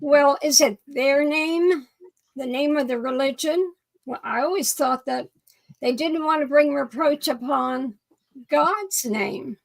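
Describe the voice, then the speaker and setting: An older woman speaks slowly and thoughtfully, her high voice croaky and gravelly from pollen. She's on a video call from a home study lined with books. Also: rough recording. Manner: measured, thoughtful. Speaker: co-host.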